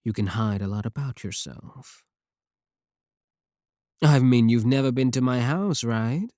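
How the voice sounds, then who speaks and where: A man's voice: medium pitch, a little slow, warm tone, dry recording, clean studio sound, lightly acted. A man playing a clingy, possessive yandere VTuber, pleading defensively and intimately with a single viewer in an ASMR roleplay. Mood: obsessive, coaxing.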